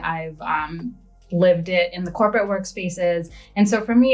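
A woman from Bangladesh, raised in Brooklyn, speaking as a lawyer guest on a podcast in a measured, thoughtful way. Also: fairly low pitch. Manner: measured, thoughtful with soft fillers. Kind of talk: podcast interview.

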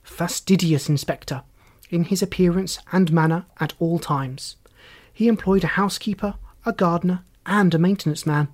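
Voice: mid-range voice, normal speed, natural voice. A narrator voicing a composed, authoritative well-to-do woman in an audiobook, speaking formally and precisely. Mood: cool, formal.

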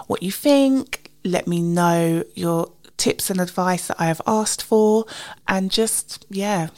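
A British woman hosting a casual, chatty solo podcast, slightly husky and sniffly from a cold, informal and self-deprecating. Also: fairly low pitch. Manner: informal, self-deprecating, recounts conversations in quoted dialogue. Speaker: podcast host.

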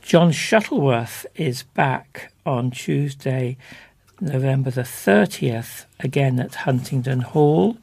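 A man presenting local information, reading out listings and phone numbers in measured, deliberate short phrases. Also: mid-range voice, lively intonation, creaky, a little mellow, breathy edge.

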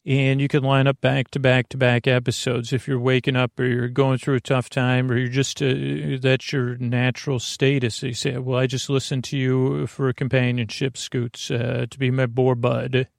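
Gravelly guy rambling on a bedtime podcast, keeps losing his thread, super dry close sound. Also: medium pitch, completely flat delivery, normal speed, few pauses, no room sound. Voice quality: gravelly, creaky. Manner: meandering, over-explaining, trails off mid-thought. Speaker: podcast host.

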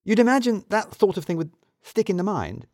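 A man voicing a brisk, sharp-witted woman office colleague with a pointed, wry manner in an audio story. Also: high-pitched, sing-song, dry recording, studio quality, voice pushed up. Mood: incredulous.

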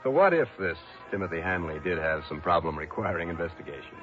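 An actor playing a wry, reluctant insurance investigator in a 1960s radio detective drama. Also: male voice, low voice, expressive, quick pace. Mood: wry, resigned. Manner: wry, hard-boiled first-person narrating. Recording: dull old radio drama, music behind.

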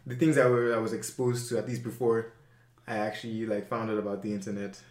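A man's voice: lowish voice, level tone, fast talker, echoey room. A young man, chatting casually as an interview guest, full of fillers and self-corrections. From Nigeria.

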